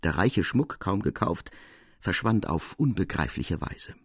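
Deep-voiced male literary narrator, crisp and close. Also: expressive, measured pace, no echo. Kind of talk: scripted literary narration of a classic German tale.

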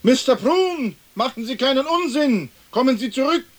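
An actor playing a dignified, earnest lord in a radio play, formal and solemn, with hiss on the old recording. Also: male voice, very high-pitched, lively intonation, moderate pace. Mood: stern alarm. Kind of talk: stereo radio play acting.

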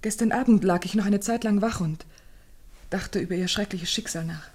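A woman's voice: mid-range voice, normal speed, a little mellow, lightly acted. An actress playing an anxious, well-bred young lady in a German radio play, speaking earnestly and formally with careful articulation. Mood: agitated, fearful.

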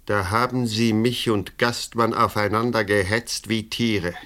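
A man's voice: lowish voice, fairly even intonation, unhurried, a bit bright. An actor playing a desperate, cornered policeman turned murderer in a radio play, tense and bitter. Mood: shaken, accusing.

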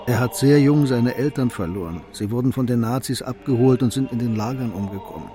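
An actor playing a wise, kindly older mentor in a radio play, calm and consoling as he explains. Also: mid-range voice, a little fast, close to an everyday voice. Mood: gentle, grave.

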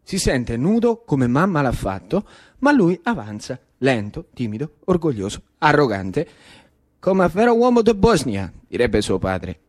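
A man's voice: fairly high pitch, sing-song, measured pace. A man with a Roman Italian accent performing a theatrical radio monologue in colloquial speech, with rhythmic repetition. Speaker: actor-storyteller.

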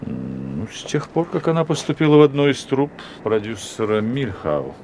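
An actor playing a sardonic, knowing stage actor in a radio play, with an ironic, theatrical way of talking. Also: male voice, mid-range voice, very animated, normal speed, a little mellow, slight background noise. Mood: sardonic, reflective.

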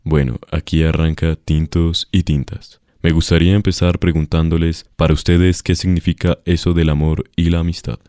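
A man's voice: sits low, little pitch movement, moderate pace, hardly any pauses, mellow, no echo, studio quality, natural voice. A man playing a vain, pompous radio host with a formal announcer's delivery and a Colombian accent. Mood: smooth, controlling. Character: vain, self-satisfied radio host with style. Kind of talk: satirical radio play acting.

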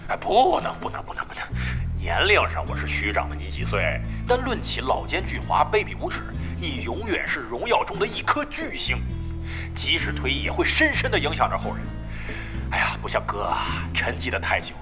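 Casting: crude, boastful middle-aged gamer, wildly swinging intonation, swaggering, radio drama over music. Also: male voice, medium pitch, wildly swooping pitch, normal speed. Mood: boastful banter. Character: brash, foul-mouthed, shameless older male gamer, boastful. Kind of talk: Chinese radio drama acting. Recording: studio voice over clear background music.

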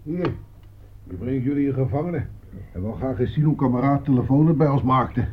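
An earthy, plain-spoken soldier at the front , acted by a man for a radio play. He speaks at a middling pitch, on a dull old recording with faint noise. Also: animated, a little fast, natural voice. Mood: brisk. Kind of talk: Dutch radio drama acting.

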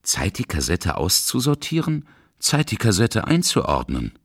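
A man's voice: lowish voice, huge pitch swings, a little slow, breathy edge, no room sound, studio quality. A man narrating a scripted radio feature. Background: music.

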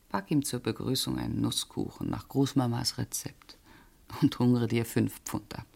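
A woman's voice: sits low, vocal fry, heavily acted. An actress playing a sensible, dryly teasing middle-aged woman in a naturalistic radio drama. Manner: dry, teasing, expressive. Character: wry, sensible middle-aged woman, loyal longtime friend.

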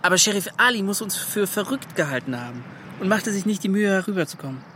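An actor playing a brash, modest young adventurer in a radio play, telling his story in an excited, colloquial, scoffing way. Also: high voice, quick pace, a little hiss or hum. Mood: admiring, lively. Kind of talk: German radio play acting. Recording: music behind the voice.

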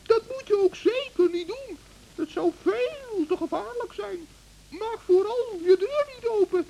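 A man voicing a small, crafty, kindly woodland gnome in a children's radio play, squeaky and urgent, on an old recording. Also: measured pace, vocal fry, warm tone, heavily acted, poor audio. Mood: urgent warning. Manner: urgent, anxious, squeaky exclaiming.

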